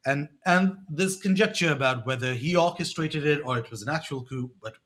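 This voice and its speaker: A man in his thirties, born in Pakistan and raised in England, calmly recounting his past as a guest on an interview show, with frequent restarts. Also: on the high side. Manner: reflective, measured, with many restarts. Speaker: guest, education company co-founder. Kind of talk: storytelling in a podcast interview.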